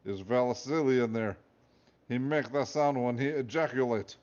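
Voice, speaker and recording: A man's voice: mid-range voice, moderate pace, a little mellow, natural voice. Man playing a dim russian guard, put-on accent, broken english, lo-fi. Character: slow-witted male Russian guard.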